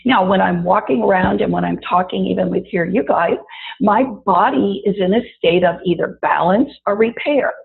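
A woman with a raspy voice after an illness, teaching a wellness lesson and chatting directly to her listeners. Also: medium pitch, normal speed. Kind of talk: guided body-awareness talk. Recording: tinny phone-like audio.

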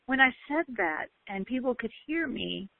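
A woman's voice: fairly high pitch, animated, gravelly. Emphatic woman on a tinny line. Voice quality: loud, bellowing voice. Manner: emphatic, drawn-out stresses. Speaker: motivational speaker. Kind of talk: motivational talk. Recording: tinny phone-like line, dry.

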